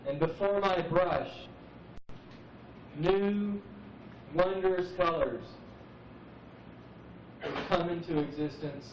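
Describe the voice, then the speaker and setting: A man in his forties speaking as a poet at an open mic, musing reflectively and unhurriedly with dramatic pauses. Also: high voice. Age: 40s. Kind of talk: live spoken-word musing. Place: live open mic venue, roomy. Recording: muffled noisy VHS recording, echoey, distant.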